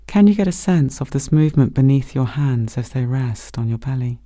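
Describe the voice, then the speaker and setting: A man gently leading a guided body scan meditation as a meditation teacher, speaking slowly and soothingly. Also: mid-range voice, lively intonation, dry recording, studio quality. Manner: gentle, slow, soothing instruction.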